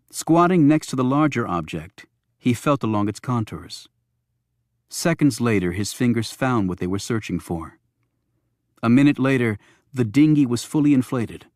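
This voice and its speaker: A man's voice: mid-range voice, a little mellow, no echo, studio quality. A man narrating a thriller audiobook from a script.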